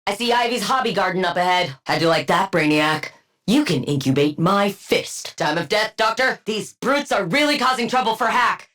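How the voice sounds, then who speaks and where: Woman acting as icy villainess scientist, pompous, dry wit, precise diction, expressive pitch, video game character. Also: moderate pace, natural voice. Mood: smug, sardonic. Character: haughty, clinical female supervillain scientist with icy wit. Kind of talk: scripted game character voice lines.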